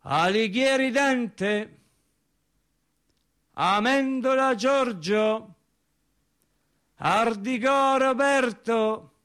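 A man playing a solemn reciter who declaims lists and lines in a chanting, deliberate manner. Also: very high voice, fairly bright tone, smooth, voice pushed up, heavily acted. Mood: solemn recitation.

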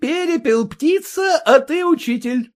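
A man's voice: very high voice, animated, a little slow, voice pushed up. A man doing a boisterous newly rich host. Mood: hearty. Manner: boisterous, hearty.

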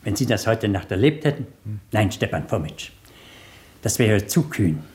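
An actor in a German radio drama playing a shrewd, controlled police inspector, measured and dry in his speech. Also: on the low side, big pitch swings, a little mellow. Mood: pondering.

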